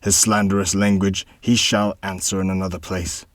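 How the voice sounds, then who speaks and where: A man voicing an indignant gambler count in a storybook reading, blustering and loud. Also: deep voice, level tone, fairly quick, breathy edge, no room sound.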